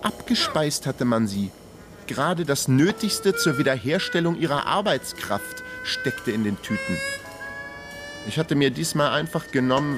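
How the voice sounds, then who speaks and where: A man's voice: medium pitch, lightly acted. An actor playing a world-weary investigator who narrates his own radio crime drama in a dry, ironic manner. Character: world-weary, wry male investigator hero. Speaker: narrator and lead character.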